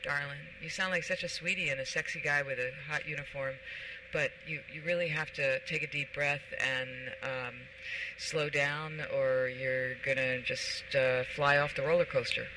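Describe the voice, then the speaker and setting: A woman's voice: low voice, steady tone, moderate pace, background noise. A woman hosting a radio talk show, giving frank and teasing advice to callers and calling them darling. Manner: blunt and teasing, calls people darling.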